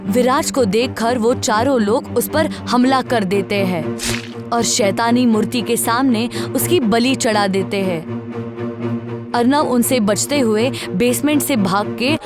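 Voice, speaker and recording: A woman's voice: fairly high pitch, animated, slow, over music. A woman reading scripted narration for a horror story as the storyteller.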